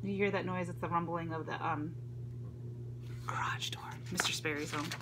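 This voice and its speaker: A woman's voice: fairly low pitch, steady tone, moderate pace, a bit bright, a little hiss or hum. A middle-aged American woman reads a picture book aloud to children, expressively, with chatty asides and questions to her listeners. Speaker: storybook reader, teacher reading to children. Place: indoors at home, room with dresser and ceiling fan. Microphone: phone or tablet at arm's length.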